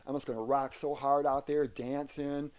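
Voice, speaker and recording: A middle-aged or older man with an American accent answering interview questions earnestly, often repeating words haltingly. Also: medium pitch, level tone, darkish tone. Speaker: interview guest, aspiring rock singer. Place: wooden sunroom indoors.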